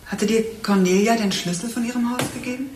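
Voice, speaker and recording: An actress playing a worn-out, guarded grieving woman in a radio drama, speaking haltingly. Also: fairly high pitch, darkish tone, close to an everyday voice. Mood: weary, guarded. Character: worn-out, guarded grown sister in mourning. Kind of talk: German radio drama acting. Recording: echoey, distant, faint noise.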